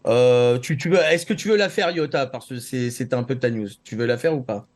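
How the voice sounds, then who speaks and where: A man's voice: mid-range voice, brisk. A man co-hosting a talk show in casual, conversational speech. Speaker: co-host of a web talk show. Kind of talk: casual chat.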